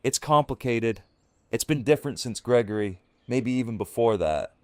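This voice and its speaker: A man's voice: mid-range voice, animated, moderate pace, no echo, lightly acted. A man playing a firm, weary survivor leader in an audio drama, speaking earnestly and with command.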